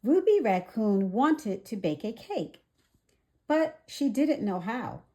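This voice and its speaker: Very slow, expressive woman reading a storybook. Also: fairly high pitch. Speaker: storybook reader. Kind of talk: children's read-aloud narration.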